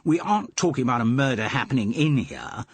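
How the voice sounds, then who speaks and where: A man's voice: medium pitch, lively intonation, fairly quick, husky, no echo, pitched above the performer's own voice. A man doing a boyish young toff. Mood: hearty. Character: bold young upper-class Englishman, boyish and hearty.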